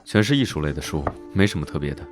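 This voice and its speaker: An actor playing a teasing, scheming young noble duke, self-assured and drawling, in an animated drama dub. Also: male voice, extremely low pitch, fast talker. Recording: background music under dialogue.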